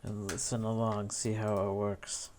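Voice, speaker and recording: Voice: sits low, little pitch movement, measured pace, darkish tone, slight background noise. A video editor giving casual screen-recording commentary, musing to themselves while working. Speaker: video editor narrating own editing session.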